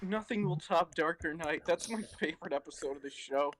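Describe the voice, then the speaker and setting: A man's voice: a little high, fairly quick, a bit bright, slight background noise. A man taking part in a podcast, chatting casually in a blunt, informal way. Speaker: podcast participant. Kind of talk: casual chat.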